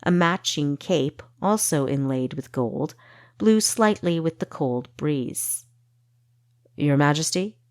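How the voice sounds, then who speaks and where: A woman narrating a fantasy audiobook with expressive, measured storytelling. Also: deep voice, dry recording, studio quality.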